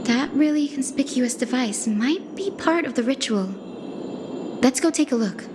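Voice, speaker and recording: A woman playing a gentle, composed young female guide in a fantasy game, with measured, explanatory speech. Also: high-pitched, animated, heavy background noise. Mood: calm, thoughtful. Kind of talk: scripted game dialogue.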